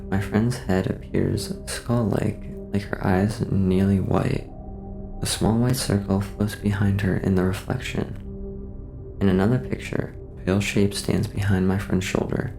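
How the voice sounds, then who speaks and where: A man reading scripted horror case files as a narrator, in a measured, formal way. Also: lowish voice, vocal fry. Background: music.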